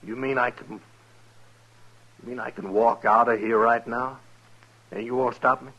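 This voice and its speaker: A distrustful young-army-type runaway, tense sharp delivery, dull old western radio recording. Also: male voice, medium pitch, fairly even intonation. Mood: disbelieving. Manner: distrustful, halting, intense. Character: wary, agitated army deserter soldier. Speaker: radio drama actor. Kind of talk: 1950s radio western acting.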